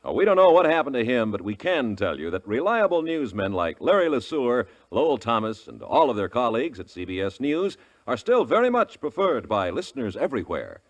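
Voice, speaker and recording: A man's voice: mid-range voice, sing-song, fairly quick. An American man reading a scripted 1950s radio network promo as an announcer. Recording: vintage 1950s radio broadcast recording.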